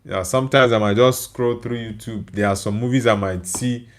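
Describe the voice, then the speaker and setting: A man's voice: mid-range voice, fairly quick. Tired Nigerian man hosting a live stream. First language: Igbo. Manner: reads viewer comments aloud and answers them. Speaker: livestream host. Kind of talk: casual live-stream chat.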